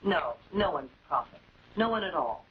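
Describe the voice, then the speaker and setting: A woman's voice: on the low side, animated, moderate pace, lightly acted. A woman playing a distraught, guarded wife and guardian in a late-1940s television drama, speaking emphatically and repeating herself. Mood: guarded, firm. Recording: dull, noisy 1940s film soundtrack.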